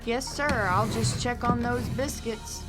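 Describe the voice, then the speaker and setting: A woman's voice: a little high, steady tone, unhurried, close to an everyday voice. A woman playing a dutiful household maid in a comedic radio drama. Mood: obliging. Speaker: student radio drama actor. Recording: loud music over the voice.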